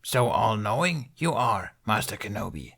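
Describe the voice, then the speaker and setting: A man voicing an ancient, small alien master in an audiobook, with a croaky, strained voice and grave, inverted phrasing. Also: on the low side, big pitch swings, a bit bright, heavily acted. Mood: accusing. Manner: inverted word order, grave and deliberate. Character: ancient small green alien Jedi master, stern, inquisitive.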